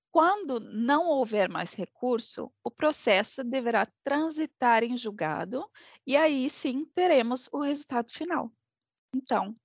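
Slightly high-voiced woman with a foreign accent. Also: no echo. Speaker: podcast panelist explaining law. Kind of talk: informal legal explanation. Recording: dull lo-fi, dry close sound.

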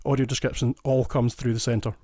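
A man's voice: mid-range voice, level tone, a little fast, mellow, no room sound, studio quality. A Scottish man talking eagerly and at length as a guest in a casual podcast chat, often restarting his sentences. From Scotland. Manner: enthusiastic, explains in detail with frequent restarts. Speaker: guest listener.

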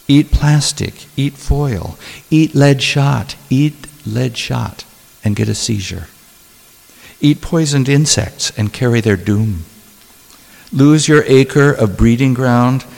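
A man reading his own poetry and talking about it, in a deliberate, measured way with dramatic pauses. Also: mid-range voice, gravelly, darkish tone. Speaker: poet reading own work. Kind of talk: poetry reading with spoken asides. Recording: long hall reverb.

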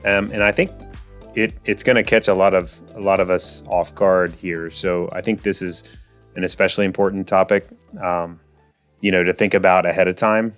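A man's voice: deep voice, normal speed, soft background music. A man in his thirties hosting a finance podcast, talking casually with frequent fillers and restarts. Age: 30s. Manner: relaxed, lots of fillers and restarts. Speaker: podcast host. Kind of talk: casual solo podcast talk.

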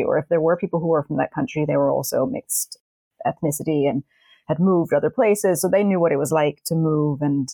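A woman's voice: deep voice, vocal fry, dark tone, dry recording. A woman in her thirties whose first language is Danish, a parenting coach speaking as a podcast guest in a friendly, reflective way. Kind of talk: casual interview chat.

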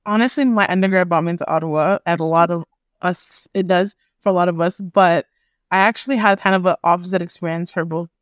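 A woman's voice: fairly low pitch, fairly even intonation, quick pace, no room sound, clean studio sound. Young woman chatting with a laugh. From Canada and the Caribbean. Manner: laughs often, many filler likes. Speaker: podcast guest. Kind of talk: casual group conversation.

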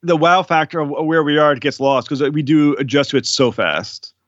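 A man's voice: on the high side, normal speed, dry recording, muffled recording. A man interviewing a guest, chatty and laughing, asking long wandering questions. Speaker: podcast interviewer. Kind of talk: casual interview chat.

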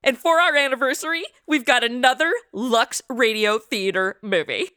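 Very high, bright, perky woman. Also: normal speed, clear, resonant tone. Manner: perky, modern slangy delivery. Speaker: show host and lead actress. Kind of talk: playful radio comedy performance.

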